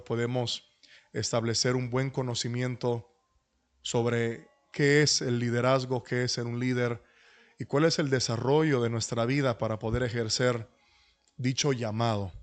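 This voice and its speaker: A middle-aged man, speaking as a pastor in a humble, courteous and deliberate way to an online class. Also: mid-range voice, flat delivery. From Dominican Republic and New York. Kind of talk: introductory talk at an online church leadership class. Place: online video call.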